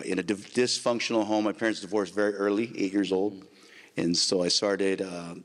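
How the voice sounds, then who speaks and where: A middle-aged man from Santa Maria, California, an evangelist telling his testimony in a calm, measured way. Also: low-pitched. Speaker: evangelist guest. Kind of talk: testimony in an interview. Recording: long hall reverb.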